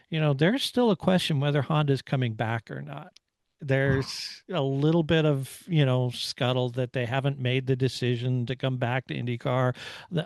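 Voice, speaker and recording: Man with gravelly creak, casual sports talk. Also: mid-range voice, dry recording, studio quality. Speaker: motorsport podcast host.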